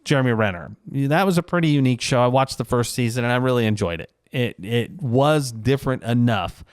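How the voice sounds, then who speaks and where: A man's voice: mid-range voice, lively intonation, brisk, clear, resonant tone, no room sound, studio quality. A man talking casually and reflectively on his own, in a conversational, rambling, self-deprecating way, like a vlogger. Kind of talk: casual reflective solo commentary.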